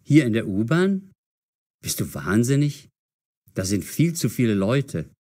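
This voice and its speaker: Mellow, animated young sleuth. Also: male voice, mid-range voice, a little slow, breathy edge. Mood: alarmed. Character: alert, excitable young male sleuth. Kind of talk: audio drama acting.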